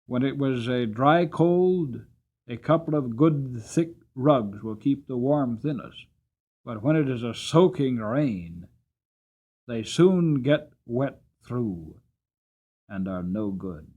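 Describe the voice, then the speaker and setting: Guy reading as gentle old horse telling his life, storybook style, earnest n animated pitch. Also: slow pace, lo-fi sound. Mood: earnest, grave. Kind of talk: dramatic storybook narration.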